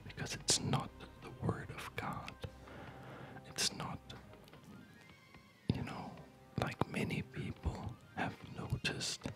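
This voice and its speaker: An ASMR gaming host speaking in a soft unvoiced whisper, rambling in a reflective, hesitant way, close to the microphone. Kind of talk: ASMR, unscripted rambling over gameplay. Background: music.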